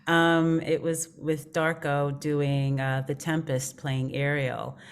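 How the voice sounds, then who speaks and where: Low-pitched woman, casual and halting. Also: little pitch movement, clean tone. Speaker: stage actor, panel guest. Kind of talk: casual reminiscing.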